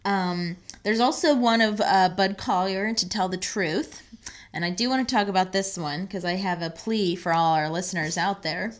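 Woman co-host with a medium-pitched voice. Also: moderate pace. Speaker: podcast co-host. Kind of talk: casual chat.

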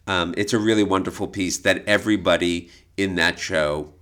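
A man with a low-pitched voice talks as a podcast co-host. He explains things conversationally, in a measured way, pausing and hesitating as he goes. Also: steady tone, a bit bright. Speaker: podcast co-host, art expert.

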